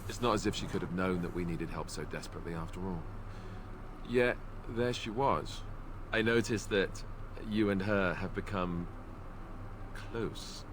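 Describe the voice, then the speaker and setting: A man's voice: deep voice, a little slow, noisy background. An actor playing a wary, gossipy young male companion in a fantasy video game, conversational and wry. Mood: doubtful, wry.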